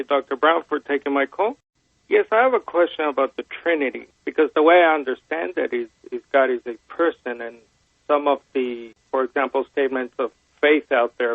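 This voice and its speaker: A man calling a radio show by telephone, asking questions in a measured, persistent, conversational way. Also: mid-range voice, creaky. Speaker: radio phone-in caller. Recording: narrow, roomy phone line on radio.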